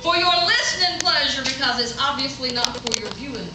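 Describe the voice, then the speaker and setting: A woman's voice: high-pitched, lively intonation, brisk. A woman, playing the exasperated straight role in a live comedy skit and laughing often. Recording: echoey live room, mic far off, noisy.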